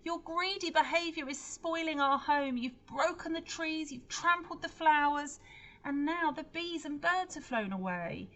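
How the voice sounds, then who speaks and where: A woman voicing a brave young girl in a storybook, crying out loudly and emphatically in protest. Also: sits high, measured pace, pitched above the performer's own voice, poor audio. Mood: urgent pleading.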